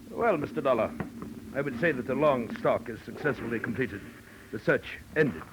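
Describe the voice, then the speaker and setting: A man's voice: medium pitch, normal speed, close to an everyday voice. Man as pompous, cold-blooded hunter villain. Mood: smug, polite menace. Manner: pompous, formal, mocking politeness. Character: suave, cold-blooded titled big-game hunter villain. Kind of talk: 1940s radio drama acting. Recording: noisy, clicky old 1940s radio recording.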